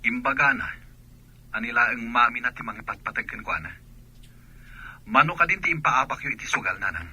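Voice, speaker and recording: Sharp, husky Ilocano father. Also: medium pitch, a little fast, pauses often, rough recording. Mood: reproachful, questioning. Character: concerned working father away from home, firmly questioning. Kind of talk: Ilocano radio drama acting.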